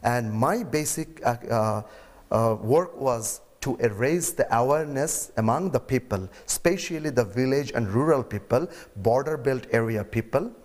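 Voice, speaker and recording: A middle-aged man, answering interview questions in a halting way with fillers and slips. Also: mid-range voice, lively intonation, a little mellow. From Bangladesh. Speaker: interviewee, mime artist. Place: indoor room.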